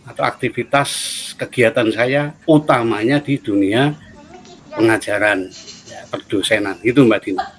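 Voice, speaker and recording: A middle-aged man with a Central Javanese accent, a university program head who gives a relaxed, explanatory interview from home over a video call, pausing often on "eh". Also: mid-range voice, poor audio. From Solo, Central Java. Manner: relaxed, explanatory, with frequent "eh" pauses.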